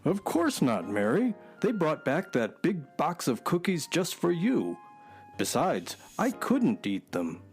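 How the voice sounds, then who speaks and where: A man's voice: fairly high pitch, wildly swooping pitch, takes it slowly, soft background music, exaggerated voice. A man playing a sensible, dryly wry horse sidekick in a children's radio drama.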